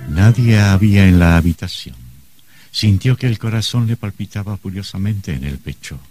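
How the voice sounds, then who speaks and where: A man with a very deep voice narrates a horror radio drama. His delivery is scripted and dramatic, with music behind him. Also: moderate pace, darkish tone.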